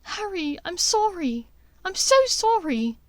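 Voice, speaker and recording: A woman's voice: extremely high pitch, sing-song, takes it slowly, darkish tone, no room sound, pitched above the performer's own voice, exaggerated voice. A woman voicing an anxious young witch, urgent and pleading, in an audiobook story. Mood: guilty, distraught.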